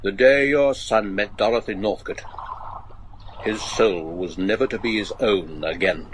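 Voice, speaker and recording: A man's voice: on the low side, measured pace, a little hiss or hum, close to an everyday voice, low-quality recording. An actor playing a loyal local man giving earnest, foreboding warnings in a gothic horror radio drama. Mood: ominous warning.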